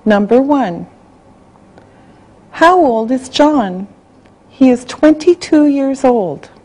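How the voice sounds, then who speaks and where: A woman reading a language-class listening exercise, spelling out words and dictating sentences slowly and carefully. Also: a little high, a little mellow, rough recording. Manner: slow, carefully spelled out, evenly paced. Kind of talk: slow scripted dictation.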